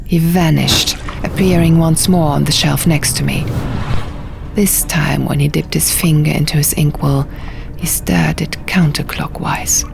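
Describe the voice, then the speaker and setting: Woman audiobook narrator, hushed measured storytelling, deep for a woman, gravelly, background music. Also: steady tone. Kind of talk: dramatic first-person fantasy narration. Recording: music behind the voice.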